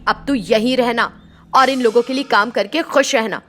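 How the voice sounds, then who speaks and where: A woman's voice: on the high side, moderate pace, close to an everyday voice. A woman voicing a cruel, greedy stepmother in a story, harsh and threatening in manner. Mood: cold, dismissive.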